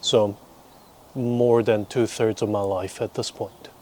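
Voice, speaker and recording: Low-voiced young New man, Korean-born. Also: flat delivery, mellow. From South Korea, raised in New Zealand from preteens. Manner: dry, wry humour with deliberate pauses. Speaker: vlogger and teacher. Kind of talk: rambling casual monologue to camera.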